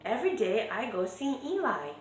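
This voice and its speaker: A woman's voice: fairly high pitch, sing-song, normal speed. A woman voicing a carefree, self-assured wooden puppet girl in a storybook, light and encouraging. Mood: breezy, assured. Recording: echoey room with music behind.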